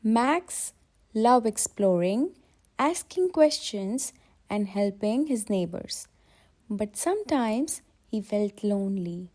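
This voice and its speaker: A woman narrating a children's bedtime story in an expressive, scripted storytelling style. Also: mid-range voice, takes it slowly.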